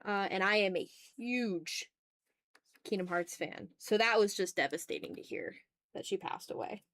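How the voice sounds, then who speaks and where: A woman's voice: medium pitch, normal speed, fairly bright tone, no room sound. A woman hosting a casual art livestream, chatting in a playful, slangy, exclamatory way while she draws, close to the mic.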